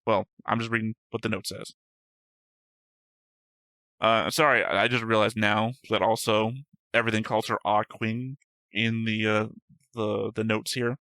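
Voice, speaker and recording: A man's voice: on the low side, little pitch movement, a little slow, long pauses, pushed and bright, dry recording. A man hosting a reaction show, reading notes aloud in casual chat and often correcting himself.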